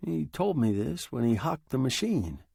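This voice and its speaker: Man doing a weary, raspy old pawnbroker. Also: mid-range voice, big pitch swings, moderate pace, mellow, no room sound, clean studio sound, full character voice. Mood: forlorn, explaining. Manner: tired, resigned. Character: weary, forlorn, furtive fat old male pawnbroker.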